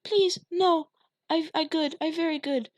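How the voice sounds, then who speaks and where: A woman's voice: very high voice, lively intonation, moderate pace, no room sound, muffled recording, pitched above the performer's own voice. A woman voicing a frightened little girl who speaks in timid, pleading broken grammar, recorded dry. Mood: terrified.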